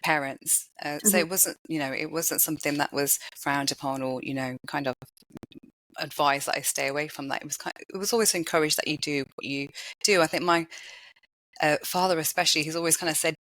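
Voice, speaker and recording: A woman's voice: fairly even intonation, fairly quick, fairly bright tone, dry recording, rough recording. A woman talking as a podcast guest, thoughtful and full of small restarts and "you know"s. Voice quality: high-pitched voice. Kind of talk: casual interview conversation.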